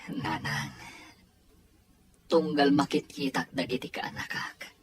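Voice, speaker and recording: A woman playing a soft-hearted, self-sacrificing grown man, a devoted uncle, in an audio story drama. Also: sits low, wildly swooping pitch, slow pace, gravelly, slight background noise, full character voice. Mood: hesitant, subdued.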